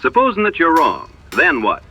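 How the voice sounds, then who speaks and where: An actor playing a wary, worried frontier sidekick in an audio drama, blunt and folksy with a rural drawl. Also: male voice, fairly high pitch, wildly swooping pitch, normal speed, bright, forceful tone, heavily acted. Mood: wry, concerned.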